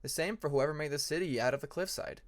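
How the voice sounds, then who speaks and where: A man narrating a fantasy story like an audiobook, in an expressive, dramatic manner. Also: fairly high pitch, quick pace. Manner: expressive, acts out dramatic passages. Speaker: fan fiction narrator.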